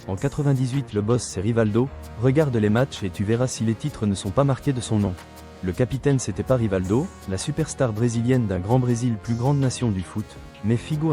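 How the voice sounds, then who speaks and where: A man's voice: medium pitch, warm tone. A middle-aged man reading a combative, sarcastic rebuttal monologue, lecturing a younger critic. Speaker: video commentator.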